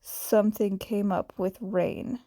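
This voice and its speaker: Girl reading as a weary gruff guy in a story, worried, terse, slow, very dry sound. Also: medium pitch, fairly even intonation, mellow, dry recording, voice pushed down.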